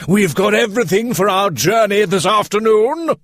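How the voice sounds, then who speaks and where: A man voicing a boastful, excitable rich male toad in a storybook reading, talking in gushing exclamations. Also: sits high, normal speed, dry recording, voice pushed up. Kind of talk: audiobook character voice.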